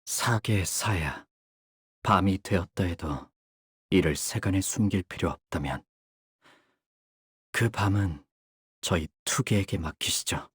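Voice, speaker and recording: An actor playing a young, bird-like warrior in a game, polite and formal in speech yet bloodthirsty, with theatrical delivery. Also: male voice, low-pitched, takes it slowly, long pauses, breathy edge, no room sound, studio quality, exaggerated voice. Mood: calm menace. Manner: polite, formal speech breaking into fierce intensity. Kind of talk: Korean game character voice lines, theatrical.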